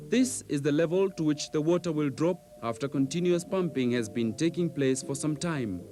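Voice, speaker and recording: A man reading scripted instructional narration in a measured, precise, didactic manner, on an old VHS training film soundtrack. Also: fairly high pitch.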